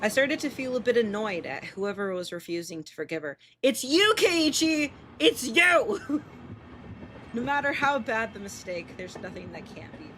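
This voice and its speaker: Woman streamer, American, over clear background noise. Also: a little high, big pitch swings, normal speed, fairly bright tone. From Portland, United States. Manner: casual, sweary, stumbles over words while reading. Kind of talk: live game stream, reading a visual novel aloud with casual commentary.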